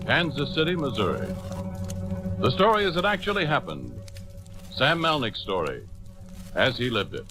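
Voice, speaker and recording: A man's voice: medium pitch, very animated, moderate pace, pauses often, lightly acted. A man acting as an emphatic announcer for an old-time radio news drama, dramatic and formal. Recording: old radio recording under loud music.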